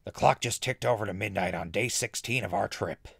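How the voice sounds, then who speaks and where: A man playing an easygoing ghoul radio host in a post-apocalyptic audio drama, laid-back and conversational with a gravelly voice. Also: fairly low pitch, fairly quick, dry recording, studio quality. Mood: relaxed. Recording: music bed under the voice.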